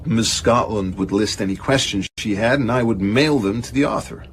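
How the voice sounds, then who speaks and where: An actor playing an urbane middle-aged literary agent in a radio drama, speaking precisely with dry, slightly haughty wryness. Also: male voice, fairly low pitch, moderate pace, slight background noise, natural voice. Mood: wry, assured. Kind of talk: naturalistic radio drama acting.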